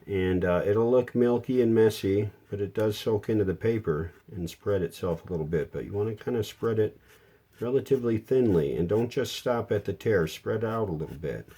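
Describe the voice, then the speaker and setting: A man's voice: lowish voice, normal speed, dark tone, breathy edge. A man giving a casual hands-on how-to, explaining each step plainly and without hurry as a repair hobbyist.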